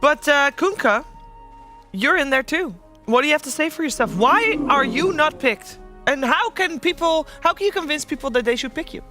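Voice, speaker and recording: A man's voice: very high voice, expressive, normal speed, over music. A man hosting an esports analyst panel, chatting casually and steering the talk. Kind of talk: casual studio panel chat.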